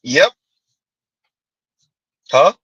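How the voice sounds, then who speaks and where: A man's voice: extremely slow, pushed and bright, no room sound, lo-fi sound. A man hosting a livestream, giving casual commentary, reading chat aloud and laughing often as he answers viewers. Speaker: livestream host.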